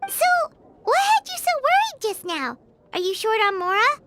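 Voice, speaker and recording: A woman voicing a tiny, excitable childlike fairy companion in a game, with a squeaky voice and chirpy, expressive manner. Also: normal speed, very noisy. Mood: curious. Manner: chirpy, expressive, speaks of herself in third person. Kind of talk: English game dub voice acting. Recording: loud background music.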